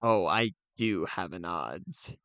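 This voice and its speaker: A man's voice: sits low, animated, takes it slowly, a bit bright, no echo. A man co-hosting a podcast, chatting in a casual, laid-back and informal way. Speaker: podcast co-host.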